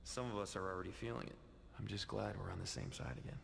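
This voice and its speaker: An actor playing a brooding, remorseful vampire hero in a video game, serious and earnest with a gravelly voice. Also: male voice, low voice, lively intonation, fast, heavy background noise, full character voice. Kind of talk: video game drama acting. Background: loud music.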